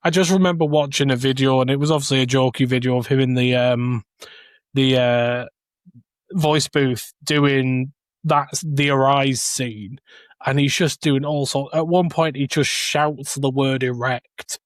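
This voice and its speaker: A man's voice: medium pitch, steady tone, a little slow, dry recording, clean studio sound. A man chatting casually as a co-host on a review podcast. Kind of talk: casual chat.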